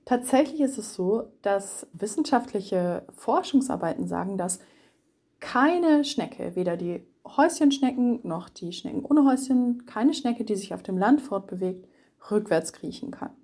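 A woman's voice: medium pitch, animated, measured pace, warm tone, husky. A woman animal expert explaining things carefully for a young audience. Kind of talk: explanatory interview answers for children.

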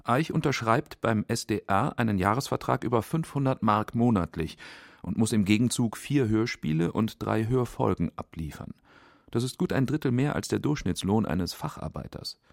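A man's voice: on the low side, expressive, moderate pace, creaky, no room sound, studio quality. A man reading scripted narration for a radio documentary. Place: dry studio.

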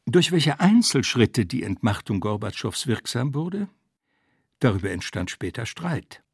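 A man's voice: mid-range voice, very animated, a little slow, gravelly, slightly rough, dry recording, studio quality. A man reading a scripted literary narration in formal, essayistic phrasing.

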